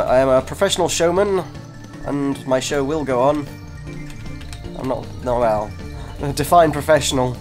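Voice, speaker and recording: A congested man, hosting a gaming video with casual, self-deprecating chat that breaks off mid-sentence. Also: medium pitch. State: congested from a cold. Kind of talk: casual gameplay commentary. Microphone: desk microphone.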